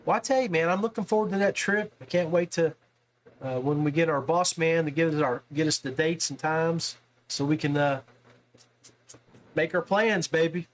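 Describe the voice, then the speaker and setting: A man's voice: fairly high pitch, normal speed. A man with an American accent chatting casually as the host of a podcast. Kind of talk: casual chat.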